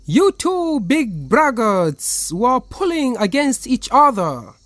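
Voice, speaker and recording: A woman voicing a clever, cheeky little male spider in a children's story, speaking in a theatrical, drawn-out way. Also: on the high side, sing-song, no room sound, pitched above the performer's own voice. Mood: gloating. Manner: theatrical, slow, drawn-out challenges.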